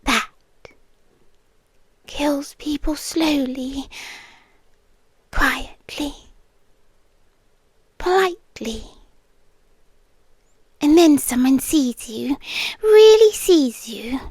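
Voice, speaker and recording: An age-old female monster with a possessive, teasing streak played by a woman in an ASMR roleplay. Her British voice is high and hushed, often slipping toward a whisper. She takes her time with every phrase, close to the mic in a very dry recording. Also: lively intonation, no room sound, full character voice. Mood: tender, possessive. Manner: slow, hushed, deliberate, often dropping into whispers. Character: ancient female predator fae, possessive, teasing yandere girlfriend. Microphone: close microphone, dry.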